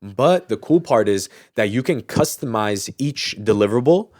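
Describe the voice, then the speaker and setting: A man's voice: on the low side, lively intonation, moderate pace, a little mellow, no room sound, studio quality. A man speaking in a casual podcast chat, explaining things informally as a host. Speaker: audio mixing engineer.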